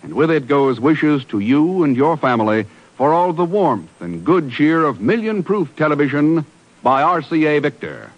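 A man's voice: a little high, animated, normal speed, lo-fi sound. A man doing scripted 1950s radio announcing and advertising. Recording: vintage radio broadcast with music. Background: music.

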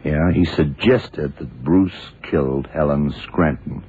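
Tinny old radio drama, commanding detective, husky, slow and deliberate, gruff mentor. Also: male voice, a bass voice, heavily acted. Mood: explaining, deliberate. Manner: deliberate, explaining, authoritative. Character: blind American male detective, sharp, gruff, commanding mentor. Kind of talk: 1970s radio drama acting.

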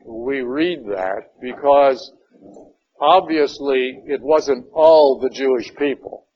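A man teaches a Bible lesson in a church meeting room, sounding a little raspy from a cold. He talks slowly and conversationally, with a lot of "uh" and "all right." The recording is dull and lo-fi, with a faint hum underneath. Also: medium pitch. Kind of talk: opening prayer then informal Bible lesson.

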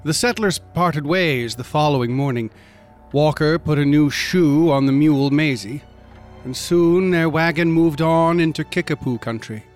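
A man playing the narrator of an eerie frontier tale, telling it in a measured, formal, literary way as scripted radio drama. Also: fairly high pitch, natural voice. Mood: grave, storytelling. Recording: music under the voice.

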